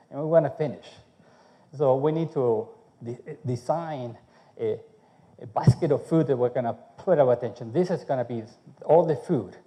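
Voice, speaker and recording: A man's voice: mid-range voice, mellow, long reverb tail. A man from Chile, a food researcher giving a conference talk, speaking conversationally and often checking in with "okay?". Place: conference hall.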